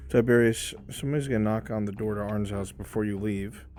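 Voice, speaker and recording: A man runs an online roleplay as game master, setting scenes aloud. His voice is low and mellow. There is faint music behind him. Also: brisk.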